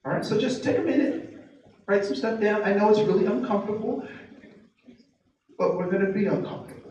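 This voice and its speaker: A man giving a workshop to a live group, asking the audience many rhetorical questions and slowing down to stress key phrases. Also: sits high, a little mellow, lo-fi sound. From United States. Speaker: diversity trainer. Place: training room with tables. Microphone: distant mic picking up the room. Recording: very echoey room, speaker far from mic, faint noise.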